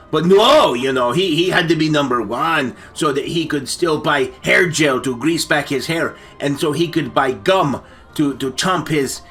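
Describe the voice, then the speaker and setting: Male comic storyteller: bright, forceful tone, rambling and stammering delivery, constantly drifting into asides. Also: a little high. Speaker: story-time show host. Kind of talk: comic, improvised movie recap storytelling.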